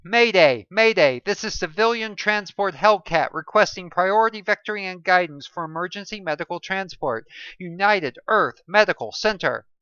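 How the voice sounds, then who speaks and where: Bold reckless male pilot in a rush, voiced by a narrator, bright crisp voice. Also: mid-range voice, moderate pace, few pauses, no echo, highs cut off, pitched above the performer's own voice. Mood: urgent. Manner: urgent, commanding.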